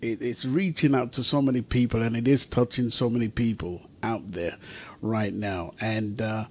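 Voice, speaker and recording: A man's voice: fairly even intonation, moderate pace, slightly rough. A man hosting an informal radio talk show in a hesitant, repetitive way over an online call. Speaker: talk show host and music producer. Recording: rumbly, noisy online conference call.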